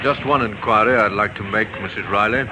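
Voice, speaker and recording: An actor playing a courteous, methodical police inspector who questions briskly in a vintage radio drama. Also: male voice, fairly low pitch, lightly acted. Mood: firm, probing. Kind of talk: 1950s radio drama acting. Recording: old radio recording with faint noise.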